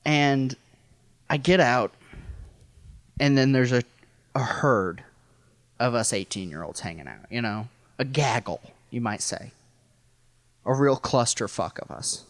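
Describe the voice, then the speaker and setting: A man's voice: medium pitch, lively intonation, a little slow, long pauses, no echo. An American man from rural Missouri telling a personal story casually as a podcast guest, in a wry, self-deprecating, profane way. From rural Missouri, United States.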